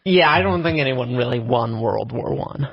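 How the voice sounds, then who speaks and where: A man co-hosting a casual chat show, answering in a dry, measured way. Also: medium pitch, animated, gravelly, husky, dry recording, lo-fi sound. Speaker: co-host.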